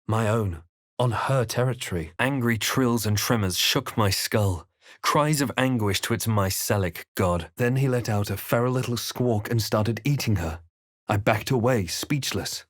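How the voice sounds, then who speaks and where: Man voicing a brooding, low-pitched adventurer hero. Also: a little slow, dry recording, clean studio sound, natural voice. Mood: brooding, grave. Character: brooding, measured adventurer hero recounting his dark journey. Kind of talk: scripted fantasy game narration and character lines.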